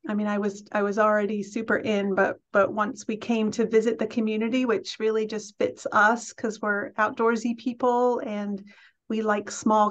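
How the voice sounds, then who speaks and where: Chatty middle-aged woman on a video call. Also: mid-range voice. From England. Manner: friendly, chatty, many ums and restarts. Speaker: college president, guest speaker. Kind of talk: casual talk on a video call. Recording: dull lo-fi video call audio.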